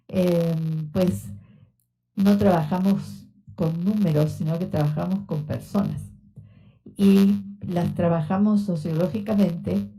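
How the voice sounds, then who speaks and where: A woman's voice: lowish voice, warm tone, low-quality recording. A woman in her sixties from Argentina, whose accent mixes Argentine and Mexican Spanish, giving an informal, conversational lecture in a university auditorium with frequent fillers. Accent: Argentine Spanish with Mexican influence. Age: 60s. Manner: unhurried, conversational, many fillers. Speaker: researcher giving a talk.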